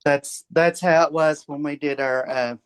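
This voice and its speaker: A man chatting casually in a conversation, halting and letting phrases trail as he goes. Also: on the high side, steady tone, smooth. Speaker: participant in the conversation, stage performer. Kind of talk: casual chat.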